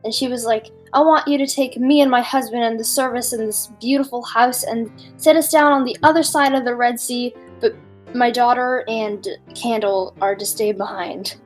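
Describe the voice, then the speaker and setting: A woman's voice: fairly high pitch, steady tone, normal speed, soft background music. A woman reading a fairy tale aloud on a podcast in an informal, giggly way, breaking off for casual asides.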